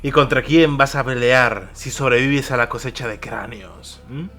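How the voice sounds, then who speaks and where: A man's voice: mid-range voice, moderate pace, pushed and bright, faint music behind, close to an everyday voice. A man voicing a battle-worn mercenary in an audio war drama, spitting out mocking challenges with scorn. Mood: scornful.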